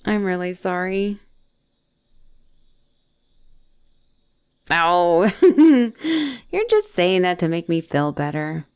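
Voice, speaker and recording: A young woman playing an obsessive, clingy girlfriend on the run from an asylum, in an intimate one-sided audio roleplay with sighs and soft, teasing turns. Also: on the low side, very animated, slow pace, dry recording. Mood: sheepish, amused. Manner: breathy sighs, teasing, drops into soft whispers.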